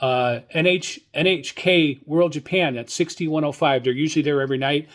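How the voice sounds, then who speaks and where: A man casually hosting a solo radio hobby show, talking enthusiastically in a halting, rambling way and often repeating his words. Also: a little high, a bit bright.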